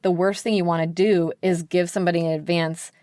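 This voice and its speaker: A woman's voice: lowish voice, fairly even intonation, brisk, dark tone, no room sound, studio quality. An American woman speaking candidly and emphatically as an editor being interviewed on a podcast, peppering her talk with "like". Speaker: book editor, podcast guest.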